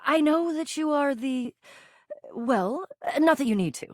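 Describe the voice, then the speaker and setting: A woman voicing an exuberant, pompous adventurer spirit of boxes and containers in a video game, verbose and excitable in a theatrical style. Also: high voice, a little slow, dry recording, clean studio sound. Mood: eager, apologetic. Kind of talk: theatrical game character dialogue.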